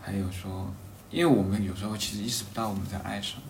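A man with a fairly low voice talks casually and reflectively, feeling his way through each idea and often rephrasing. The room adds a clear echo, and there is faint noise behind him. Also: steady tone. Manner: halting, rephrases thoughts as he goes.

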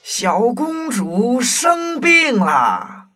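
A woman plays a caring royal parent in a storybook audio drama. The voice is mid-pitched and unhurried, with an expressive rise and fall. Faint music sits behind it. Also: breathy edge, exaggerated voice. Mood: caring, concerned.

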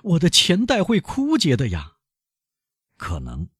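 Shrill, panicky captive banker, husky, voice leaping wildly high, played by a man reading a storybook. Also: wildly swooping pitch, a little slow, no room sound, clean studio sound, full character voice. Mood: haggling. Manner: shrill, pleading and desperate. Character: frantic aging male banker held captive.

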